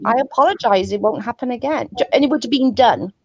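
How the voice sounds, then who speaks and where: Earnest woman guest with a stutter, slightly high, dry video-call audio. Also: quick pace, dry recording, muffled recording. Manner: earnest, pleading, says she stutters. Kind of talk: heated casual chat.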